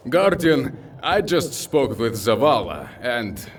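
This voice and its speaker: A big-hearted titan warrior in an audio drama. His speech is slow and booming, with huge swings in pitch. He sounds set back from the mic, over faint noise. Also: sing-song, far from the mic. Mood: troubled, earnest. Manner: booming, theatrical, deliberate.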